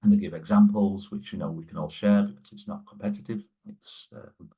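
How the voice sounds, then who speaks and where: A man's voice: on the low side, fairly even intonation, brisk, mellow, slightly rough. A man speaking as a podcast guest in a loose, rambling way with many fillers and restarts. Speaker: guest, sustainability lead. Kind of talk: conversational podcast interview.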